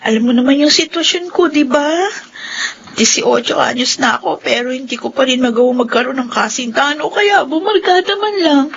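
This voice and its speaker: A woman's voice: high voice, expressive, fairly quick, vocal fry, fairly bright tone, slightly rough, dull audio, full character voice. A woman playing a put-upon, aggrieved eighteen-year-old student in a Filipino radio drama, with a plaintive delivery. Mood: bitter, confiding.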